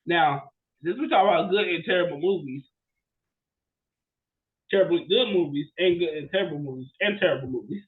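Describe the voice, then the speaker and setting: High-voiced man chatting in an echoey room. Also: a little fast, pauses often, narrow, tinny audio. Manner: animated storytelling with frequent "right?" check-ins. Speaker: podcast co-host. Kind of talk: casual movie chat, retelling plots.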